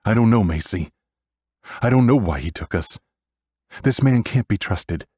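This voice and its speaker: A man's voice: lowish voice, lively intonation, unhurried, warm tone, slightly rough, no room sound, clean studio sound, pitched above the performer's own voice. A man voicing a scared thirteen-year-old boy, urgent and fiercely protective of his sister, in an audiobook. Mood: protective, anxious. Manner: urgent, emotional pleading.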